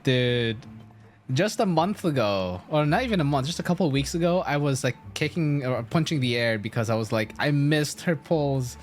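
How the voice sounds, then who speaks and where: A game streamer giving casual, slangy, excitable live commentary while playing. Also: mid-range voice, moderate pace, soft background music.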